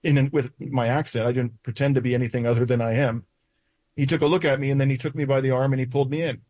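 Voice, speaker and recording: A man in his sixties with a North American accent recounts events in an interview, pausing often with uhs and restarts. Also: medium pitch, steady tone, darkish tone, no room sound. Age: 60s. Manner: halting, with frequent uhs and restarts. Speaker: interview guest.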